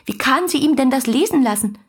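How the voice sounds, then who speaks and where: An actress playing an ardent, dreamy young letter writer, breathy and confiding, in a radio play. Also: female voice, high voice, expressive, brisk, heavily acted. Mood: confiding, musing. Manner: musing, confiding, intimate.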